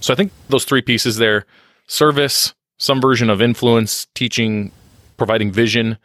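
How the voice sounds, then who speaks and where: A man's voice: medium pitch, steady tone, moderate pace, a bit bright, dry recording, clean studio sound. A man talking candidly in a podcast interview, reflective and self-deprecating, often restarting his sentences. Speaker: podcast guest on leadership and success.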